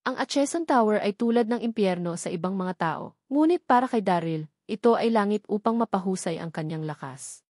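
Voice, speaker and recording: A woman's voice: medium pitch, expressive, brisk, a little mellow, no echo. Woman narrating a novel, very dry sound. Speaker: audiobook narrator.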